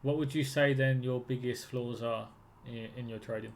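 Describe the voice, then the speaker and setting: A man's voice: medium pitch, steady tone, normal speed, a little hiss or hum. A man working as a trading mentor, asking probing questions and giving affirming feedback in a casual one-to-one coaching call.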